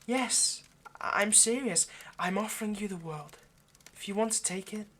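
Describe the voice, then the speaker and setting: A man's voice: sits high, moderate pace. A man playing an earnest, lovestruck vampire in an intimate roleplay monologue, speaking hesitantly and persuasively. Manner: hesitant, stammering, gently persuasive. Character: earnest, lovestruck male vampire coaxing a partner.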